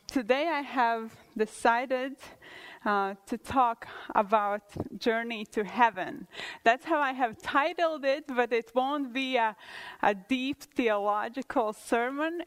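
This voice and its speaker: A woman's voice: fairly high pitch, poor audio. A woman from Latvia in her thirties, with a Latvian accent, speaking English as a second language, giving an earnest testimony-style sermon at church with some hesitant restarts.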